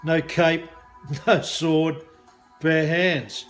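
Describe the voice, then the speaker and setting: A man's voice: a little high, steady tone, extremely slow, a bit bright, soft background music. Matey Australian man chatting casually to viewers. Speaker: business mindset coach and hypnosis practitioner.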